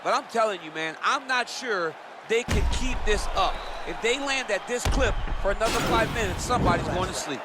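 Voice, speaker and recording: A man's voice: high-pitched, lively intonation, fairly quick, a loud crowd. A man voicing an emphatic color commentator who analyses a mixed martial arts fight in a video game. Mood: earnest, impressed. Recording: loud arena noise and impacts behind.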